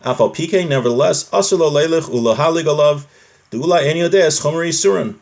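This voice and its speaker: A man's voice: fairly high pitch, fast talker, creaky. A man teaching a live religious class, reading from a text, translating it and explaining it point by point, often rephrasing.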